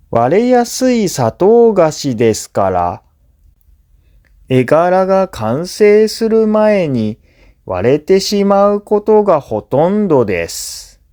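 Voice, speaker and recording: Slow, mellow male narrator. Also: a little high. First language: Japanese. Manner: measured, clearly phrased explanatory delivery. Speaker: language teacher reading listening practice. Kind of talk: scripted educational narration.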